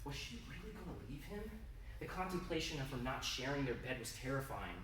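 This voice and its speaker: A man gives a dramatic live reading of a story. He sounds intense and theatrical, leaning on pauses for emphasis. The recording is distant and echoing, with some noise around him. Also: medium pitch, very animated, fairly bright tone. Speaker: author reading own fiction. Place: indoor convention reading room. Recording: roomy, distant, noisy live event recording.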